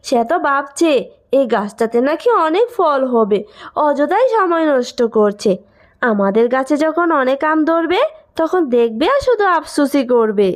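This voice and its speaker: A woman's voice: sits high, big pitch swings, measured pace. A woman voicing a greedy, scornful village sister-in-law in a cartoon moral story, brusque and scolding. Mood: mocking. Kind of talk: animated moral story acting.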